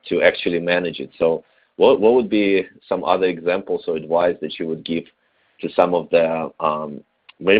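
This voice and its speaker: A man hosting an interview in a conversational style, with halting, hesitant phrasing. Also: sits low. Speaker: interviewer, webinar host. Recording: dull lo-fi band-limited audio.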